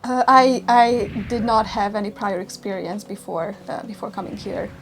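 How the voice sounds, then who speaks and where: A woman's voice: medium pitch, normal speed. Woman, hesitant interview talk, noisy background. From Hungary. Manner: hesitant, with frequent fillers. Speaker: sailing trainee. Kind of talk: interview testimony.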